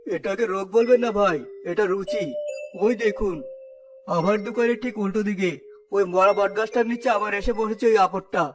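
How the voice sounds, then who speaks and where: A man's voice: sits high, steady tone, brisk, natural voice. A man voicing a harsh, haughty sweet-shop owner in a cartoon story, with a contemptuous, domineering, scolding manner. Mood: haughty, grumbling. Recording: clear background music throughout.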